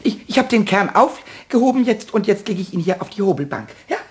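A man playing a cheeky, stubborn, childlike kobold in a children's radio play, with a high, squeaky voice and an excitable, repetitive, pleading way of talking. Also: fairly quick. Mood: eager, pleading. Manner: excitable, repeats words, whiny pleading.

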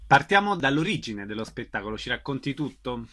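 A man's voice: mid-range voice, very animated, normal speed, a bit bright. A man hosting a radio interview, asking the guest brief questions. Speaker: radio host.

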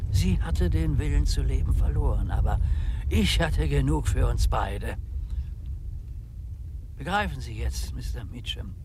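An actor in a German radio drama playing an odd undertaker, earnest, brooding and insistent. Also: male voice, medium pitch, lively intonation, measured pace, pauses often, background noise, natural voice. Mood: brooding, fervent. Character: odd, obsessive male undertaker with medical ambitions.

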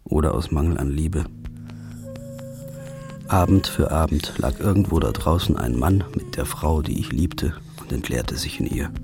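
A man playing a weary, haunted first-person narrator in a radio drama, with a dark, brooding delivery. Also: very deep voice, moderate pace, creaky. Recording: music underneath.

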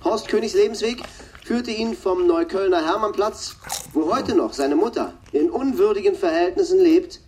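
An actor playing a slimy tabloid TV reporter, delivering a florid, pompous news report in a radio drama. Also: male voice, sits high, normal speed, a little mellow, a little hiss or hum, lightly acted. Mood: sensational.

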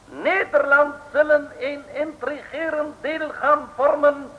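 Very high, pompous foreign villain. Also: male voice, steady tone, takes it slowly. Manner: stilted broken Dutch, grandiose, formal. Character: pompous, scheming foreign male villain, self-styled prime minister. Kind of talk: 1950s-60s comic radio play acting. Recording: dull old radio recording.

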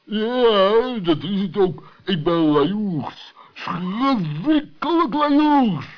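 A man's voice: sits high, sing-song, extremely slow, voice pushed up, full character voice. A man voicing a sulky, jealous badger who whines and muddles his words in a children's radio play. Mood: jealous, aggrieved. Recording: dull old radio recording.

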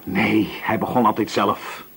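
An actor playing a sullen, defensive nineteen-year-old male army corporal in a radio drama, curt and evasive, with a raspy voice. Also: fairly low pitch, fairly even intonation, unhurried, a bit bright, full character voice. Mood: bitter, defiant. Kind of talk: Dutch radio drama acting.

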